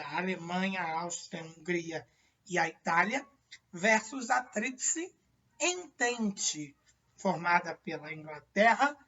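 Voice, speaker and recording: A woman with a Brazilian accent teaching a high school history lecture, asking herself rhetorical questions and tagging sentences with "né?". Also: a bit bright, breathy edge. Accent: Brazilian Portuguese. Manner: many tag questions and fillers, rhetorical questions. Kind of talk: classroom lecture. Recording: distant lo-fi classroom recording.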